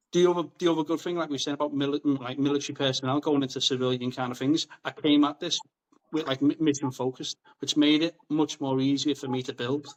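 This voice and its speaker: Man, podcast guest, upbeat and rambling, voice a touch high. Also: low-quality recording. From Liverpool, England. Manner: enthusiastic, repeats words and restarts often. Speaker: guest, rum brand founder. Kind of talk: casual podcast conversation.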